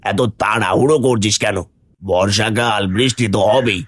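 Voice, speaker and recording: A man voicing a stingy village moneylender who talks in a gruff, dismissive way. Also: fairly low pitch, moderate pace, a bit bright.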